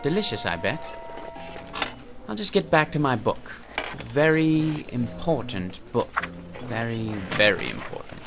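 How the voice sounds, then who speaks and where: A fussy, pompous man of the office in a TV drama. He speaks like a self-important scholar, enunciating each word with very mobile intonation. Music plays clearly behind him. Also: mid-range voice, big pitch swings, measured pace. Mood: smug. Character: fussy, self-important male office worker obsessed with mind power. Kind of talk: television drama acting. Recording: background music under dramatic dialogue.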